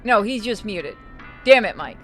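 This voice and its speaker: A woman's voice: medium pitch, expressive, moderate pace, over music. A woman giving casual, blunt, bantering gameplay commentary as a streamer. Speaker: game streamer.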